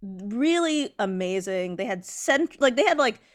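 A woman's voice: on the high side, lively intonation, unhurried. A woman co-hosting a podcast, giving a casual crash course in art history with frequent fillers and self-corrections. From not from India. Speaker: podcast co-host.

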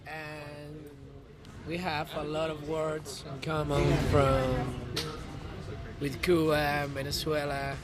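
A man from the Canary Islands with a Canarian Spanish accent, chatting casually in halting second-language English during an interview. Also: a little high, completely flat delivery, a crowd in the background. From Canary Islands, Spain. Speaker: podcast guest, visiting artist. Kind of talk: casual street interview chat. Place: outdoors on a New York street. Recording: busy city street with voices around.

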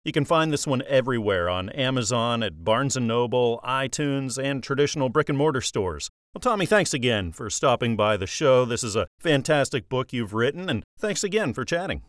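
A man's voice: mid-range voice, lively intonation, moderate pace, few pauses, no echo, studio quality. A man hosting an author interview show in a polished radio style, with an upbeat announcer's delivery.

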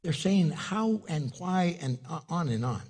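A husky-voiced male pastor preaching in a storytelling way. His first language was Spanish, and he leaps into loud, high-pitched bursts when he acts out a scene before settling back down. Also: expressive, measured pace. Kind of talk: sermon.

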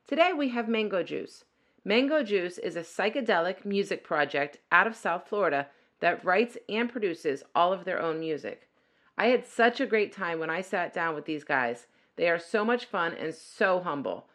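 A middle-aged woman hosts a podcast in a cheerful, chatty way. Her voice sits a little low for a woman. She laughs easily and keeps the talk light. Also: animated, normal speed, fairly bright tone. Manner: upbeat, chatty, laughs often. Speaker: podcast host. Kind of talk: podcast interview with intro narration.

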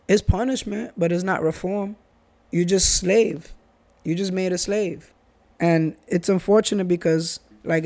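Earnest New man, bright pitch. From Bronx, New York. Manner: earnest, restarts and repeats phrases. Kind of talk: casual chat.

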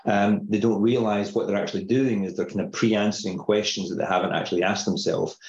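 A middle-aged Scottish man with a low voice talks through ideas in a measured, explanatory way. His audio sounds roomy and a bit dull, like a remote call. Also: fairly even intonation, darkish tone, slight background noise. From Scotland. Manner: measured, explanatory, uses filler phrases. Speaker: company founder guest. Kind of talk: podcast interview.

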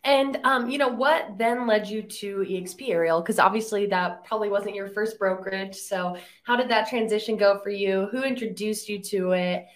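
A woman's voice: medium pitch, moderate pace, few pauses. A woman from Tennessee hosting a podcast, upbeat and chatty, with a playful teasing edge. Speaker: podcast host. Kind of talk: podcast interview.

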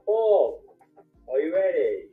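A man slowly saying sample phrases in a drawn-out, sing-song way. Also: fairly high pitch, sing-song, faint music behind. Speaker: accent demonstrator in a played clip. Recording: roomy, distant, dull playback.

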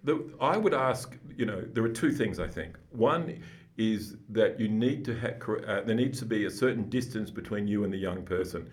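Guy w a big booming low voice, calm slow explainer, psychologist on a podcast. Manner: slow, deliberate, explanatory, with restarts. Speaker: psychologist guest. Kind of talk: calm, measured podcast conversation.

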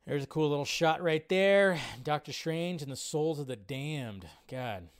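A man's voice: on the high side, a little slow, clean tone. A man hosting a live movie fan stream, chatting informally and ramblingly as he reads and answers viewer comments.

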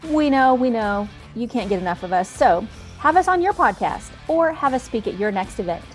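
A woman's voice: on the high side, very animated, normal speed, faint music behind. A woman hosting a podcast, gushing and enthusiastic, chatting and reading sponsor spots. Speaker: podcast host. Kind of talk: casual podcast chat and sponsor reads.

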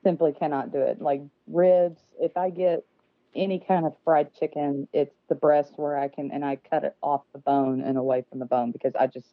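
A woman's voice: low-pitched, fairly even intonation, moderate pace, dry recording. A woman who is a guest on a casual podcast, speaking in a nervous, self-deprecating way with many fillers, heard over a remote call line. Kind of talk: casual interview conversation. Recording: dry, phone-like remote call audio.

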